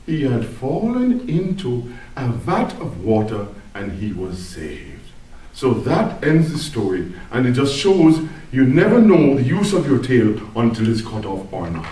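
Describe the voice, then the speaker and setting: A woman's voice: a bass voice, very animated, unhurried, dark tone. A woman telling a comic tall tale live to an audience in a theatrical, mock-earnest manner, in a room. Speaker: storyteller at a speech club. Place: echoey indoor room, speaking to an audience. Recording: very echoey room, distant microphone.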